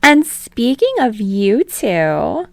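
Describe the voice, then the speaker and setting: A woman doing a gushing, high-voiced talk show host. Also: big pitch swings, creaky, no echo, exaggerated voice. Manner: gushing, drawn-out, playful. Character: bubbly young female talk show host. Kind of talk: fan fiction audiobook reading.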